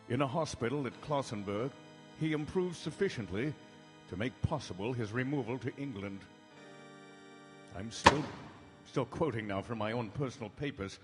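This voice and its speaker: An actor playing a grave doctor, recounting horrors from his journal in measured, dramatic radio-drama phrasing. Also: mid-range voice, lightly acted. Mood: formal, measured. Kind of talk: live radio drama narration in 1930s-40s manner. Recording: live stage broadcast in reverberant hall.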